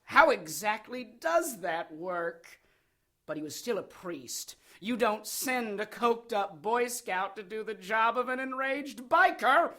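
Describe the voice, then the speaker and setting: A man's voice: high voice, lively intonation, unhurried, bright, forceful tone, heavily acted. An actor playing an impudent, wheedling little henchman in an audio drama, sardonic, glib, mocking and giggly.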